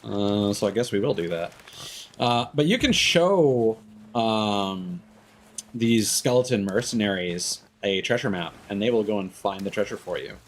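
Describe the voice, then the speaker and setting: A man's voice: lowish voice, expressive, measured pace, slight background noise. A man giving casual live game stream commentary, rambling and thinking aloud into a streaming microphone.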